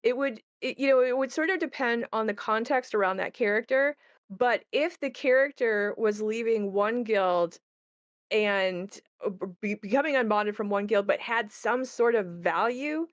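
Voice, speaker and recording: A woman's voice: fairly high pitch, normal speed, no room sound, studio quality. A woman who is a game creator, hosting a casual question-and-answer chat.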